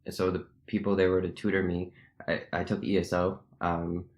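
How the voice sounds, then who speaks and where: A man's voice: low-pitched, little pitch movement, moderate pace, darkish tone. A young man from the United States whose first language is Gujarati, telling rambling stories in a casual podcast chat. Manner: rambling, self-correcting storyteller. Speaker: podcast co-host.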